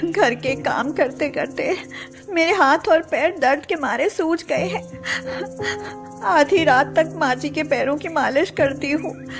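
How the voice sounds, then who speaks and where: A woman's voice: sits high, takes it slowly. A woman voicing a long-suffering, overworked young daughter-in-law in a cartoon story, plaintive and pleading. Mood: sad, plaintive. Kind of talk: cartoon moral-story voice acting. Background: music.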